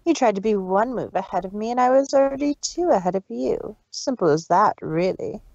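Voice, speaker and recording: A woman's voice: medium pitch, animated, a little fast, darkish tone, no echo, lo-fi sound. A woman voicing a cruel, arrogant big-sister mare villain in a dramatic story reading, taunting and condescending. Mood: smug. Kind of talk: dramatic fan fiction reading.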